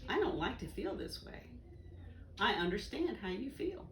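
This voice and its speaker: A woman reading a children's educational book aloud in a deliberate, instructive way. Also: mid-range voice, sing-song, fairly bright tone. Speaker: volunteer story reader. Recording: roomy echo, faint background noise.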